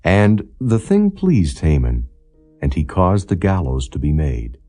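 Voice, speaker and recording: A man narrating scripture as an audiobook in a solemn, measured, formal storytelling delivery. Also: deep voice, very animated, mellow, faint music behind. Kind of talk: solemn scripture reading, non-dramatized.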